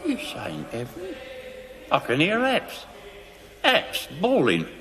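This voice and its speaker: An actor playing a loud, complaining army cook left for dead, bawling out drawn-out words in a theatrical style. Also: male voice, high voice, huge pitch swings, long reverb tail, exaggerated voice. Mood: bewildered, aggrieved. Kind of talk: theatrical television stage-play acting.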